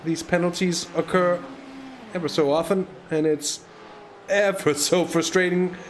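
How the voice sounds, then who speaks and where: A man's voice: high-pitched, steady tone, unhurried, slight background noise. A man commentating a live sim race, chatty and hesitant, with lots of fillers and restarts, on a USB microphone.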